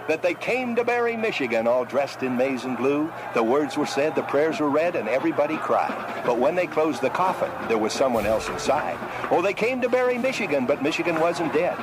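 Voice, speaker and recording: Higher-pitched man reciting verse, noisy recording. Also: sing-song, fairly quick, hardly any pauses. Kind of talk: rhythmic recited comic verse.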